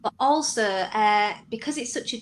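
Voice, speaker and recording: A woman's voice: fairly high pitch, moderate pace. Woman writer interviewed, some background noise. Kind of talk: conversational interview answers.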